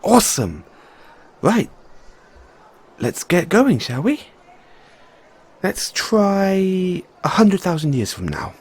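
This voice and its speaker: Man playing an expressive geeky mad scientist boyfriend. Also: high-pitched, slightly rough, full character voice. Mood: eager, upbeat. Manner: halting, geeky, swinging between gentle and eager. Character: excitable, tender male mad scientist boyfriend. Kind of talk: scripted audio roleplay to listener.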